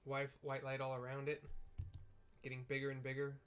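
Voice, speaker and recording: A man's voice: mid-range voice, monotone, normal speed, a bit bright. A young man casually narrating a game cutscene in a rambling way, full of "like" and "kinda.". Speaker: let's play narrator.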